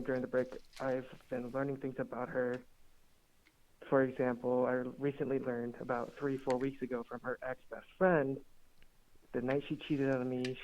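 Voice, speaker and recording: A 19-year-old man calls in on a tinny phone line, his voice thin and boxed-in. He talks in a hesitant, rambling way with many restarts and pauses. His pitch sits in the middle. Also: darkish tone. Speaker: caller. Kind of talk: phone call-in.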